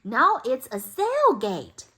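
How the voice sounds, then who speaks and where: Lady doing keen little truck hero in a kids book, upbeat and confident, bright, takes it slow. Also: high-pitched, huge pitch swings, a little hiss or hum, heavily acted. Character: eager, confident young truck hero of a storybook.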